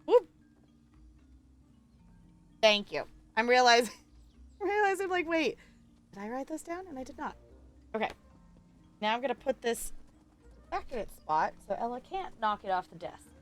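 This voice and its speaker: A woman's voice: a little high, very animated, normal speed, pauses often. A woman chatting casually as she live streams a game, rambling in a playful, self-deprecating way. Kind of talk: casual gaming stream chat. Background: music.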